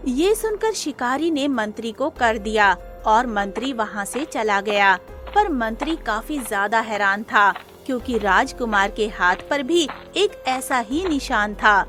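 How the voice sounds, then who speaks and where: A woman's voice: on the high side, expressive, a little slow, a bit bright. A woman narrating a scripted cartoon moral story. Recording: music under the voice.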